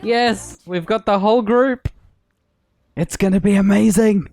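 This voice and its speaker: A man's voice: high-pitched, unhurried, a little mellow. A man who streams games, chatting casually and greeting viewers and friends over background music. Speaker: game streamer hosting a multiplayer session. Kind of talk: casual livestream chat.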